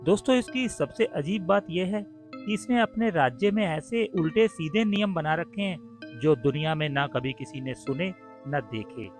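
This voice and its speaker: A man's voice: fairly high pitch, expressive, measured pace. A man narrating a scripted cartoon story for children. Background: soft music.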